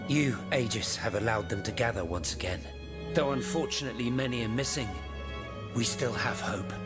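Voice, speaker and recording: A man's voice: medium pitch, normal speed, lightly acted. An actor playing a genteel, pious nobleman in a video game, courteous and stately. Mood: solemn, hopeful. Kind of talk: video game cutscene acting. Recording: game music behind.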